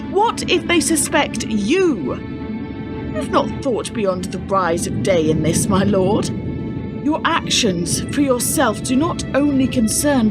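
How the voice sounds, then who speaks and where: A woman's voice: sits high, full character voice. A woman acting an anxious, reproachful medieval lord's wife in a period radio play, speaking solemn archaic English in a declamatory way. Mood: accusing. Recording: radio play with loud music underneath.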